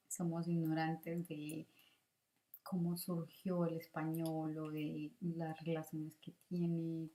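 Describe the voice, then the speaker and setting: A middle-aged woman gives a student presentation in a hesitant, halting way with pauses. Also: sits low, dark tone. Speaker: student teacher giving a presentation. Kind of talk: prepared spoken presentation, partly improvised.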